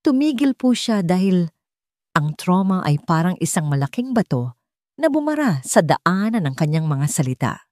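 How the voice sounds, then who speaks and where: A woman's voice: lowish voice, sing-song, normal speed, darkish tone, dry recording, studio quality. A woman voicing a respectful, earnest housemaid, a grieving mother and former teacher, in an audio drama. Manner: polite, earnest explaining.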